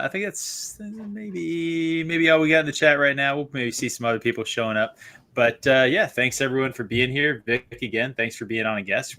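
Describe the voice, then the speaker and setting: A man hosts an online book club with a bright, forward voice at a middling pitch. He talks casually, laughs often, and trips over his own restarts.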